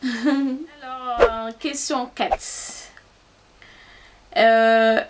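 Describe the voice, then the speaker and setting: A young Haitian woman with a Haitian Creole accent talks to her camera in an easygoing vlog. She speaks at an unhurried pace in a fairly high voice. She thinks aloud as she answers and is easily amused. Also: steady tone, heavy background noise. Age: young adult. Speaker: YouTuber. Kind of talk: casual vlog, answering tag questions.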